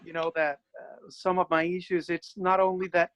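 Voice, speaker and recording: A man's voice: sits high, level tone, moderate pace, a bit bright, clean tone. A man whose first language is Spanish, earnestly explaining his accent goals on a video call and restarting his sentences. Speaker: workshop participant. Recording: dry, lo-fi call audio.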